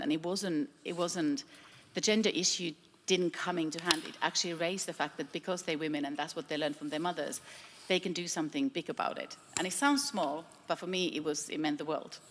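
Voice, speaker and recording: A woman with a low-pitched voice speaks on a panel, rambling warmly through stories and restarting her sentences. She is heard through a stage microphone in a big echoing hall, with a faint hum behind her. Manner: chatty storytelling with many restarts. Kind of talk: panel discussion answer. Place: large trade fair hall with reverb.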